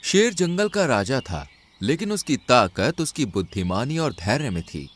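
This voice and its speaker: A man's voice: medium pitch, big pitch swings, unhurried. A man narrating a scripted children's animal story.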